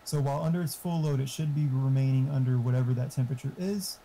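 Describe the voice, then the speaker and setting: Blunt, mellow man over noisy voice chat. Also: medium pitch, fast, creaky. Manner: explanatory, blunt, sweary. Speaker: friend giving tech advice on a voice call. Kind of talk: casual chat. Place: online voice chat.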